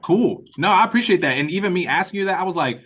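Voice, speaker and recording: A man leading an online meeting in casual chat, speaking informally and haltingly as he thinks aloud. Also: sits high, animated, fairly bright tone. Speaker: writer-director leading the meeting. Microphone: video call.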